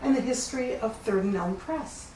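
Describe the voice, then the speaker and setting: A woman's voice: on the high side, moderate pace, mellow. A woman hosting an arts interview in a studio, speaking to her guest and audience in a gracious, presenter-like way. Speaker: host, interviewer. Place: artist's studio workshop. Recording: echoey, distant, clearly noisy workshop recording.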